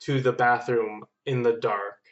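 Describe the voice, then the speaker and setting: A man's voice: mid-range voice, steady tone, measured pace. A man guesting on a podcast, reading emails and chatting casually, self-deprecating, often restarting his phrases, on a slightly dull microphone. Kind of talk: casual chat and reading listener emails aloud.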